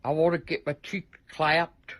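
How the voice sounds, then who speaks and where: A woman's voice: sits low, little pitch movement, unhurried. An older woman in a casual, profane rant, complaining bluntly and repeating herself. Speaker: person in a viral clip.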